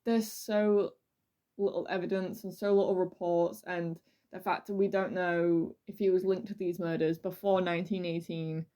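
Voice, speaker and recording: A woman's voice: fairly low pitch, flat delivery, moderate pace, dark tone. A woman hosting a true crime podcast, telling the story in an informal, jokey, conversational way.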